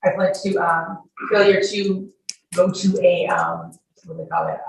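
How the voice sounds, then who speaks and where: A woman's voice: lowish voice, sing-song, a little slow. A woman testifying as a witness in a courtroom in an informal, rambling, colloquial way. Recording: echoey courtroom, distant mic, faint noise.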